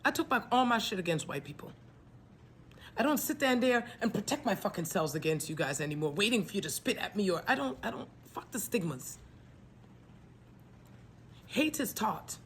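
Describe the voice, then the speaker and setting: A woman's voice: medium pitch, big pitch swings, normal speed, pauses often, slight background noise. A woman in her forties, from Trinidad, gives a passionate spoken message to her followers. She swears freely, preaches fervently and sometimes drops to a whispered plea. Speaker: spiritual message speaker. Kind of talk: impassioned spoken monthly message.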